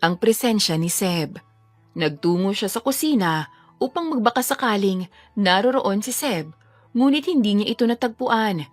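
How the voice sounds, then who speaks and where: A woman's voice: medium pitch, no echo. A woman reading a scripted romance story as a dramatic narrator.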